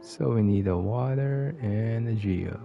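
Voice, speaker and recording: A streamer talks casually through a video game, half to themselves. The voice has a gravelly fry. Game music is loud behind it. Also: medium pitch, measured pace, muffled recording. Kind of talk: casual live gameplay commentary.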